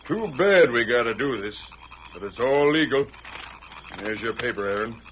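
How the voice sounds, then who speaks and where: An actor playing a scheming, vengeful settler in an old radio western, with a hard folksy western drawl and mocking manner. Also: male voice, mid-range voice, animated, moderate pace, a bit bright, close to an everyday voice. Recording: noisy vintage radio recording.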